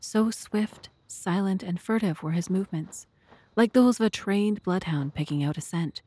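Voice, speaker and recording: A woman's voice: mid-range voice, darkish tone, dry recording. A woman reading scripted story narration for an audio drama.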